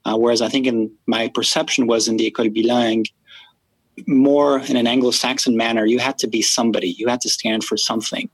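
A man from France, a podcast guest, speaking thoughtfully in a casual interview, with many pauses and fillers. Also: mid-range voice, steady tone, slightly rough, dry recording, rough recording.

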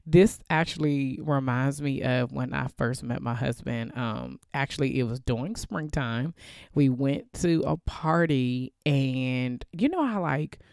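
A woman with a heavy cold talks through a casual solo podcast, her deep voice congested and hoarse. The sound is gravelly with a lot of fry, yet smooth underneath. She is chatty and loose, with many fillers. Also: a little slow, a little mellow, dry recording, clean studio sound. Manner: chatty, loose, laughs often, lots of fillers. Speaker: podcast host.

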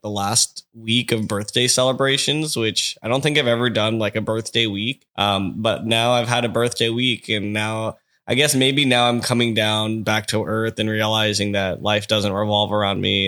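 A man chatting on a podcast with a stuffy, congested voice. His tone is bright and forward, and he wanders through his stories with plenty of fillers. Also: fairly low pitch. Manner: rambling, filler-heavy, upbeat. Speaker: podcast co-host. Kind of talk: casual podcast chat.

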